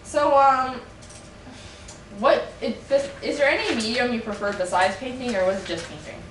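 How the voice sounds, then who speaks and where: A young woman from Canada plays interviewer, asking halting questions and tossing out deadpan teasing jabs. She sits far from the mic in an echoey room, with clear background noise. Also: on the high side. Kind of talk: amateur mock interview.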